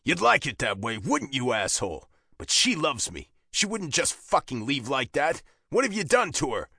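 A man's voice: medium pitch, sing-song, normal speed, gravelly, sharp tone, dry recording, clean studio sound, voice pushed up, exaggerated voice. Man playing a harsh, hot-tempered biker. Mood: angry, desperate. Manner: harsh, growling, demanding. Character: hot-tempered, desperate male biker in love.

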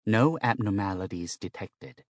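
A man voicing a medical machine that speaks in a flat, clinical monotone. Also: fairly low pitch, unhurried, no room sound, clean studio sound. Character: flat-toned robotic medical machine voice from a mirror.

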